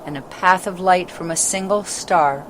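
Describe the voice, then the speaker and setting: Low-voiced woman reading a storybook. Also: normal speed, a bit bright. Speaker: storybook narrator. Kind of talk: scripted storybook narration. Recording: clearly noisy background ambience.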